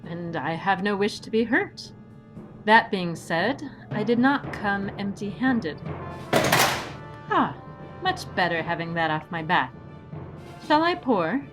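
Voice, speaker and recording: A woman's voice: mid-range voice, big pitch swings, takes it slowly, long pauses, music in the background. Casting: woman for an unflappable, courteous adventuress with dry wit, mid-range voice, audio drama delivery. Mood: politely unruffled. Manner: polite, unruffled, wryly philosophical. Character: composed, courteous, witty female masked swashbuckling heroine.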